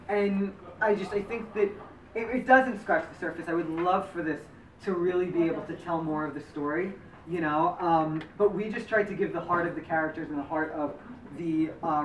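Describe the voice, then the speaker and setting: A man's voice: a little high, fairly even intonation, moderate pace, fairly bright tone. Chatty man answering questions far off. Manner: rambling, full of fillers and restarts. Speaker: memoir author and lead actor. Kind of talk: post-screening Q&A answers. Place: community center screening room. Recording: echoey room from far off with background noise.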